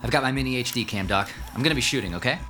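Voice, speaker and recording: A man's voice: medium pitch, rapid-fire, over music, close to an everyday voice. An actor playing a flippant young ghost-hunting tech guy with a casual, wisecracking manner in a radio horror drama. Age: young adult.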